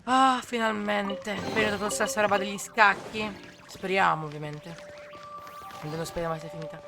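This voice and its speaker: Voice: medium pitch, steady tone, fairly quick, creaky, music in the background. A game streamer giving casual, offhand commentary during gameplay.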